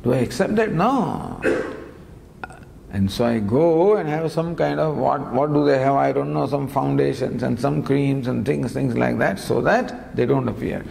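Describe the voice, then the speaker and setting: A man giving a spiritual discourse on scripture, teaching in a lively, gently humorous way with many rhetorical questions, recorded in a lecture hall. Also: medium pitch, measured pace, vocal fry. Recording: long hall reverb, faint background noise.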